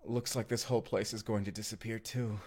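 A man's voice: fairly low pitch, little pitch movement, a little fast, a little mellow, slightly rough. A man acting as a devoted, sweetly awkward young romantic in an immersive audio roleplay, speaking in an earnest, hesitant, conversational way. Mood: dying, tender.

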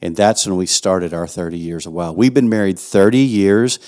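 A man's voice: deep voice, fairly even intonation, normal speed, darkish tone. A middle-aged man with a West Texas accent, a pastor co-hosting a podcast in joking, playful casual chat. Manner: jokey, playful banter.

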